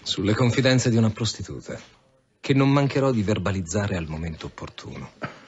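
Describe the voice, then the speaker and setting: A defiant, methodical police inspector in a radio drama. His low voice swings in melody as he presses each point formally. The recording is dull, with clear background noise. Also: animated, a little fast. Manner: formal, pointed, insistent delivery. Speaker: actor. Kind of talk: Italian radio drama acting.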